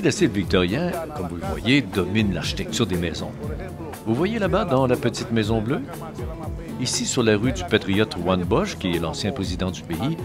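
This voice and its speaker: A man reading scripted travel-show narration and voice-over translation. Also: fairly low pitch. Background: background music.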